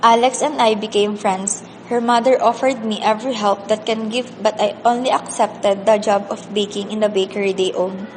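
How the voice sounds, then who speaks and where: A woman's voice: medium pitch, steady tone, normal speed, hardly any pauses, lightly acted. A woman playing a sad, earnest orphaned teenage girl who narrates a radio drama in the first person. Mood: sad reflective. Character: sad, weary orphaned teenage girl telling her story. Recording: long hall reverb, faint noise.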